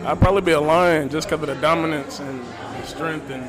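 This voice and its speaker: A man's voice: a little high, a little fast. A man being interviewed about his rap music at a busy indoor event, easygoing and laughing, with a dry throat. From Springfield, United States. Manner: relaxed, laughing, says "yeah" a lot. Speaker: rapper guest. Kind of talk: casual interview chat.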